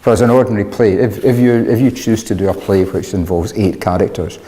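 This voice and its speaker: Interviewee: man, lowish mellow voice, explanatory conversational delivery, reverberant hall. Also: brisk.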